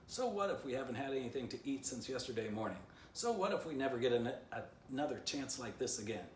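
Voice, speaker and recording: A man's voice: medium pitch, sing-song, fast, lightly acted. Crafty male dog leader for a storybook, read by a man, declamatory, persuasive; echoey, off-mic recording. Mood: slyly defiant. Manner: declamatory, slyly insistent. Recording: roomy, distant mic, faint background noise.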